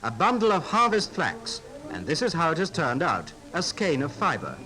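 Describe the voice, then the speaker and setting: A British man with a fairly high voice announces a 1950s newsreel. He reads formally and declaims with very animated intonation, over clearly audible music on an archive soundtrack. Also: moderate pace, rough recording. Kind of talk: 1950s newsreel scripted narration.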